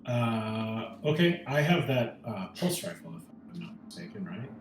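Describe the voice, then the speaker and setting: A man's voice: mid-range voice, sing-song, a little slow, slight background noise. A man playing a tabletop roleplaying game, casually speaking as his character and thinking aloud hesitantly. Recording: roomy echo, music underneath.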